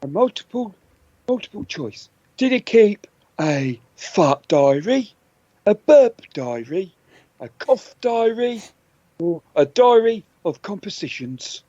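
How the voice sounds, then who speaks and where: A man's voice: sits high, big pitch swings, slow, warm tone, no room sound, dull audio. A man co-hosting a comedy podcast, reading out quiz questions playfully and with stumbles. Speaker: podcast co-host and quizmaster.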